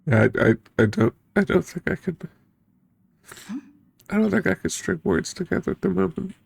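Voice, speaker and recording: Tearful, gravelly streamer, halting and choked up. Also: mid-range voice, very animated, a little mellow, dry recording. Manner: halting, sighing, at a loss for words. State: tearful, sniffling, choked up from crying. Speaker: streamer, game playthrough host. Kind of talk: emotional unscripted chat to viewers after finishing a game.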